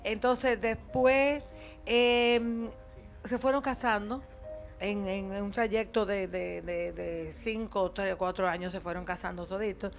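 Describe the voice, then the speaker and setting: An older woman, a guest on a TV chat set, speaking slowly and thoughtfully into a handheld mic. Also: medium pitch, steady tone, creaky, soft background music. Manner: slow, drawn-out, thoughtful. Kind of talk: casual TV chat. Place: TV studio set.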